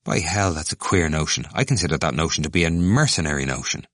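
A man voicing an excitable young male student with a bleating, indistinct voice. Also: rumbling low, quick pace, dry recording, clean studio sound. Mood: excited.

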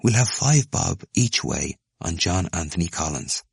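A man's voice: deep voice, animated, a little slow, vocal fry, darkish tone, breathy edge, dry recording, studio quality, exaggerated voice. A man voicing a sly young male student who murmurs jokes.

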